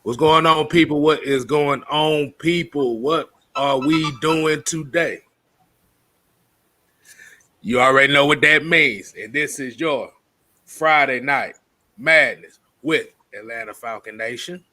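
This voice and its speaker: A man co-hosts a casual sports talk stream, keeping things loose and joking. His voice is bright and somewhat high for a man. He talks slowly and unhurriedly, and the sound is close and dry with no room echo. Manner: loose, joking, laughs a lot. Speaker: co-host of a sports talk show. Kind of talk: casual live stream banter.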